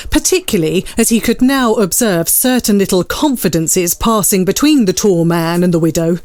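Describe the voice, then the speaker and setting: A woman's voice: medium pitch, darkish tone, no room sound. A woman narrating a classic story as scripted, dramatised literary storytelling.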